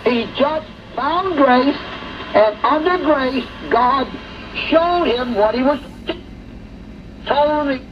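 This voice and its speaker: A woman's voice: high-pitched, slow, pauses often. A woman preaching a sermon, heard through dull and noisy shortwave radio reception.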